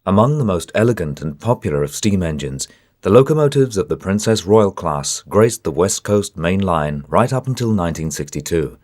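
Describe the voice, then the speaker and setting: A man's voice: low-pitched, lively intonation, moderate pace, a little mellow, no echo, clean studio sound. A man reading scripted narration for a documentary.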